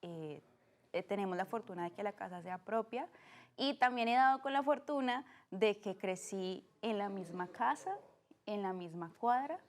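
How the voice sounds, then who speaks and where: A woman in her twenties with a Colombian accent from Cali, speaking as a podcast guest in a warm, earnest way with frequent "eh" fillers. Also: mid-range voice, expressive, moderate pace. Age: 20s. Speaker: guest, art director. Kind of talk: casual interview.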